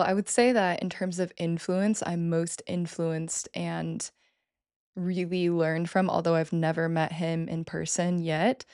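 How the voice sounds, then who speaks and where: Woman guest, gravelly and fairly monotone, chatty and keen. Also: low voice, no echo, studio quality. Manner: enthusiastic, many fillers and restarts. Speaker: podcast guest. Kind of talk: casual interview talk.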